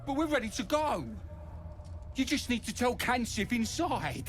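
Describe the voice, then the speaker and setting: Crude, swooping goblin soldier. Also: male voice, very high-pitched, expressive, normal speed, slight background noise. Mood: nervous.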